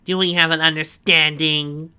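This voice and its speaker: A man voicing a scheming male villain who gloats and drawls his words out slowly. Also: on the high side, flat delivery, bright, forceful tone, smooth, pitched above the performer's own voice. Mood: gloating.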